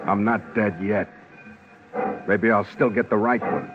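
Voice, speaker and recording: An actor playing a bitter, touchy, defiant condemned killer, curt and snarling, in a 1950s radio crime drama. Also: male voice, lowish voice, steady tone, a little slow, music in the background, close to an everyday voice. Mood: grim. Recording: muffled old radio broadcast.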